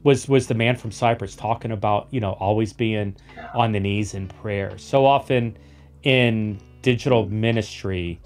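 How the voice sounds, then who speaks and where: A man's voice: fairly low pitch, a little slow, soft background music. A man hosting a podcast in casual conversation, rambling with lots of restarts and repeated words. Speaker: podcast host.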